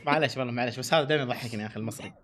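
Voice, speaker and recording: A Saudi man bantering casually with friends, excitable and repeating his phrases insistently. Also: medium pitch, rapid-fire. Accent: Saudi Arabian. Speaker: challenge video participant.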